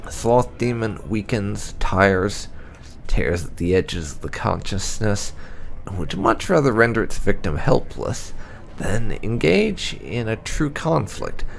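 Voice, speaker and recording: A man narrating game lore aloud as a playthrough host, in a measured reading manner. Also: lowish voice, big pitch swings, vocal fry, slight background noise.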